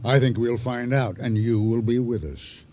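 A man acting a boastful, scheming sea-adventurer and hijacker in a radio drama, with a deliberate, insinuating delivery full of dramatic pauses. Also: mid-range voice, dark tone, slight background noise, lightly acted. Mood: persuasive, scheming. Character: boastful, scheming male sea-adventurer turned ship hijacker, silkily persuasive.

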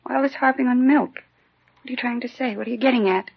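A woman playing a guarded, easily indignant widowed housewife in 1950s radio acting, with a creaky, husky voice. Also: on the high side, fast. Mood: defensive, indignant. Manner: defensive, insistent. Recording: dull 1950s radio recording.

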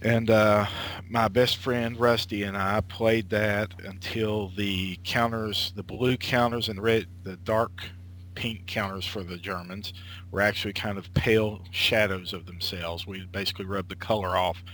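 A man's voice: lowish voice, steady tone, unhurried, a bit bright, low-quality recording. A man in his late thirties, a guest chatting casually over an internet call, rambling with lots of filler words and restarts. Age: late 30s. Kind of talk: casual conversation.

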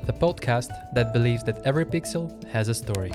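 A man's voice: mid-range voice, animated, fairly quick, over loud music. A man hosting a podcast in a conversational style. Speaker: podcast host.

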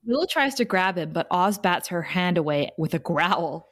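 Very animated woman narrator. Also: on the low side, normal speed. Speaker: narrator reading stage directions. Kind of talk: scripted narration in a table read.

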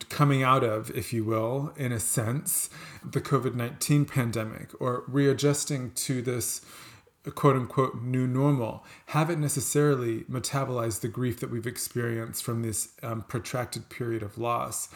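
A man moderating a book discussion who frames a long, thoughtful question in a reflective, academic way, hedging and checking in with tag questions. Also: medium pitch. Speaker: interviewer, moderator.

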